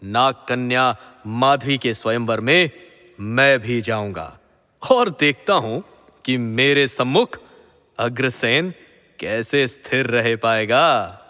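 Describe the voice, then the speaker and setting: A jealous king of the gods in a mythological audio drama. He speaks slowly in a bright, forceful mid-range voice, pompous and haughty, ringing through a long reverb. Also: medium pitch, animated. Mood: menacing, defiant.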